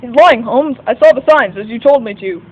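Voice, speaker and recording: Earnest sidekick narrator, acted by a man, unusually high male voice, plain storytelling, lo-fi radio-play recording. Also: lively intonation, brisk. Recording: dull lo-fi microphone, faint noise.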